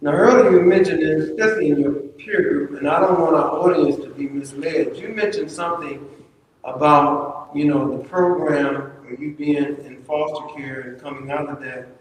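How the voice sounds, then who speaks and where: The man steering a community forum from across a big echoing hall, deliberate, instructive, set back from the microphone. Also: on the high side. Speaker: moderator. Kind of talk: public panel questioning. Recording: cavernous echoey hall, distant mic, faint noise.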